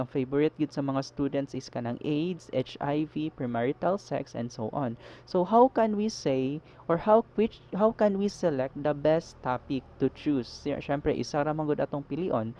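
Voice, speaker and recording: A Filipino teacher with a Cebuano accent, talking through his slides with a mellow but fairly high voice. He moves between English and Cebuano and keeps checking in with his listeners. The voice-over sounds dull and lo-fi. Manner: mixes English with Cebuano, explanatory with frequent "no?" checks. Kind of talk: recorded slide lesson.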